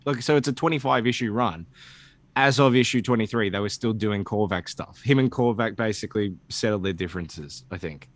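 Animated man with a lowish voice. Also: fairly quick, dry recording. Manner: animated, sweary, opinionated rambling. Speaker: comic book podcast co-host. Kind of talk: casual podcast chat.

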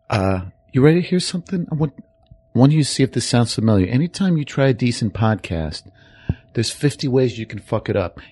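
A man putting on a gruff, tough-talking movie gangster voice that comes out hoarse and profane. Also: medium pitch, darkish tone. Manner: menacing, profane, street-tough.

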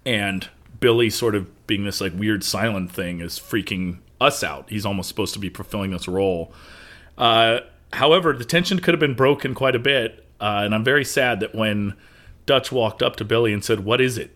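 A man's voice: lowish voice, normal speed, fairly bright tone. A man co-hosting a podcast, chatty and analytical, joking while he discusses a movie. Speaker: podcast co-host.

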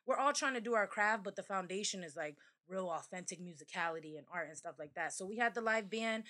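A young woman from the Hartford area with a big, loud voice, chatting as a guest on a podcast. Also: mid-range voice, fast. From Hartford, Connecticut area. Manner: loud, laughs often, big voice. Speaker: podcast guest, event organizer. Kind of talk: casual podcast chat.

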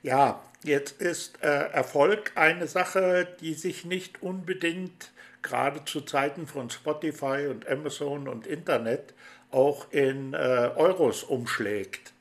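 A man's voice: fairly high pitch, slow. A man hosting an interview, asking rambling, friendly questions full of fillers. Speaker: interview host. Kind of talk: chatty radio-style interview.

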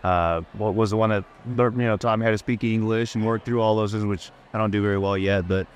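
Low-voiced man, modest event guest. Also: brisk, slight background noise. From El Salvador. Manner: modest, relaxed, with fillers and restarts. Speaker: guest, community banker. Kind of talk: casual interview at a live event. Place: outdoor event fair.

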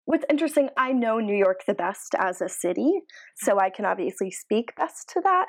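A woman with a mid-pitched voice co-hosts a conversational podcast. She explains her points in a measured, analytical way, often softening them with qualifications. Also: sing-song, creaky. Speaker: podcast co-host. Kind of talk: casual analytical podcast discussion.

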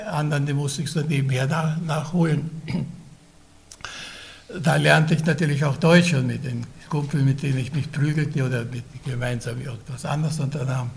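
A man in his nineties, Russian-speaking from childhood and raised in Vienna, telling his life story haltingly, with frequent pauses, restarts and throat clearing. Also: on the high side. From Vienna, Austria. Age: older. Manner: hesitant, many fillers and restarts. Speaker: interviewee recounting his life. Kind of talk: oral history recollection.

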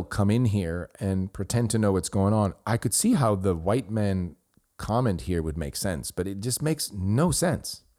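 A middle-aged podcast host from Switzerland, Swiss German by birth, speaking in a deep voice. His tone is warm and dark as he talks through a review in an earnest, rambling way. He restarts sentences often as he defends himself. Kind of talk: responding to a listener review.

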